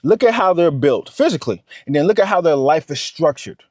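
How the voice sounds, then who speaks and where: A man's voice: fairly high pitch, animated, brisk, a little mellow, no echo, studio quality. A man, giving a motivational talk to camera. He talks straight to the viewer and repeats his points. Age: 30s. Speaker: vlogger.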